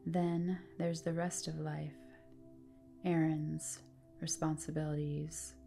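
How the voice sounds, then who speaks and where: A woman guiding a relaxation meditation in a calm, soothing manner, speaking in deliberate phrases with pauses. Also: sits low, gravelly, faint music behind. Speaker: yoga nidra guide. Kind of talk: guided relaxation meditation, scripted narration.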